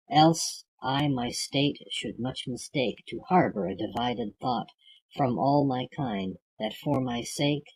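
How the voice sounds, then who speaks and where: A husky, creaky voice of unclear gender reads old verse aloud for an audiobook. The pace is very slow and measured, with a declamatory delivery that gives each phrase its own space. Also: mid-range voice, a little mellow, rough recording. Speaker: audiobook reader. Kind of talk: reading classic poetry aloud.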